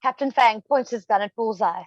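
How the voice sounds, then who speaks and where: A woman's voice: fairly high pitch, normal speed, no echo, highs cut off. A woman narrating scripted stage directions for a play reading.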